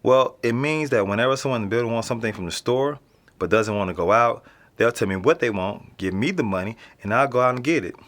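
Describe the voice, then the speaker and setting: A man's voice: mid-range voice, fast talker, fairly bright tone, close to an everyday voice. A young man with an American accent playing a casual, slangy, streetwise teenage boy being interviewed in a naturalistic audio drama. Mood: nonchalant. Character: nonchalant streetwise teenage boy living in an apartment building.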